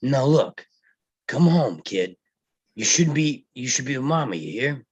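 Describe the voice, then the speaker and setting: A man plays a hot-headed mob heir with a husky, mid-pitched voice. He is bossy and protective, and the sound is close and dry, as in a script reading. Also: lively intonation, unhurried, no room sound, lo-fi sound. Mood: commanding. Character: hot-tempered mobster elder brother, bossy, protective. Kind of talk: live table read of a film script.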